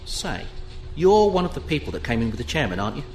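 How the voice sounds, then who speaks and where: A man's voice: mid-range voice, very animated, fast, darkish tone, lightly acted. A man playing a stern, contemptuous ex-fleet veteran in a science fiction audio drama, speaking in a clipped, scornful manner. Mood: cold, probing. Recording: roomy echo with clear ambient noise.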